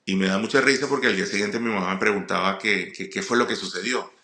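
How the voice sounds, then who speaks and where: A middle-aged man with a Venezuelan accent tells personal stories informally, often halting and repeating words. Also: on the low side, sharp tone. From Puerto La Cruz, eastern Venezuela. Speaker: comedian, guest speaker. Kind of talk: personal storytelling monologue. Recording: roomy echo.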